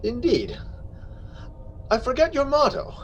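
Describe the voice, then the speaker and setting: An actor playing a boastful, tipsy, scornful nobleman in a theatrical gothic reading, with exaggerated drawn-out delivery. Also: very high voice, big pitch swings, muffled recording, slight background noise, heavily acted.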